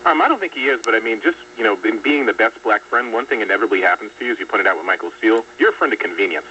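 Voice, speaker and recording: Man, guest commentator, fast, bright and emphatic, faint hum, dull far-off radio sound. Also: on the low side, animated. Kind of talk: political talk radio discussion. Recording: distant, muffled radio rebroadcast with faint hum.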